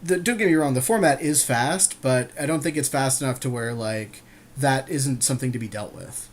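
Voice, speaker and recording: A man's voice: mid-range voice, moderate pace. A man co-hosting a podcast, chatting casually and agreeably about a card game draft. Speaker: podcast co-host.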